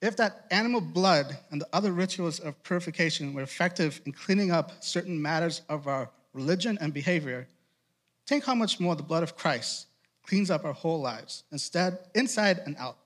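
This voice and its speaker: Man, trinidadian accent, slightly higher voice, youth preacher, conversational, rhetorical questions. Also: animated, a bit bright. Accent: Trinidadian, with Boston influence. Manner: conversational, asks rhetorical questions, quick asides. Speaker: student ministry director preaching. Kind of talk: casual youth sermon.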